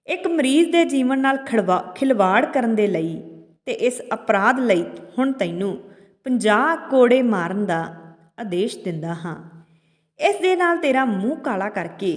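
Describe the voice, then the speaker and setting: A woman voicing a stern holy man who judges as king in a story, interrogating and commanding. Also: fairly high pitch. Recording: long hall-like reverb on voice.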